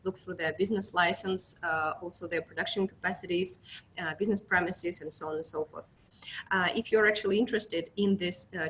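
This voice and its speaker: A woman's voice: lowish voice, a bit bright, a little hiss or hum. A young woman from Russia with a Russian accent, presenting a business webinar as an account manager, speaking hesitantly with many fillers and restarts.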